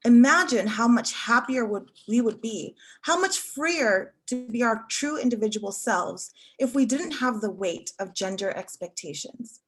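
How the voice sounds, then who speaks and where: A woman with a fairly high voice reads an essay aloud. Her delivery is measured and earnest, as a reader at a literary event. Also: lively intonation, slightly rough, low-quality recording. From Africa. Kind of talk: reading a prose essay aloud.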